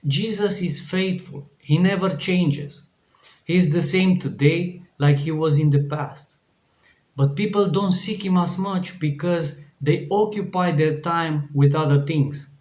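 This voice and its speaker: A man's voice: on the high side, unhurried, a little mellow. A man from Romania with a Romanian accent, preaching an earnest devotional sermon, with frequent restarts. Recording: echoey room.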